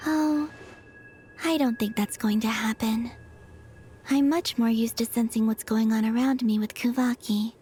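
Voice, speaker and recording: Woman playing a gentle, higher-voiced serene moon maiden. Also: fairly even intonation, measured pace, a little hiss or hum, natural voice. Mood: lightly amused. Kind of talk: video game voice acting. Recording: music behind the voice.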